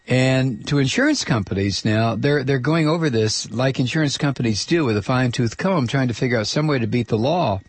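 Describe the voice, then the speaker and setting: A man's voice: mid-range voice, animated, brisk, hardly any pauses, breathy edge, no echo. A man hosting a political talk radio show, ranting angrily and sarcastically, and losing his voice.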